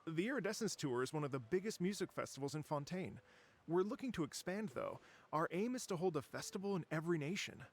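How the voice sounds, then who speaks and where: A man voicing a courteous, middle-aged, earnest and wistful traveler in an anime game, speaking in a polite, measured way. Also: fairly high pitch, animated. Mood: polite, pleasant. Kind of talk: anime game voice acting, storytelling.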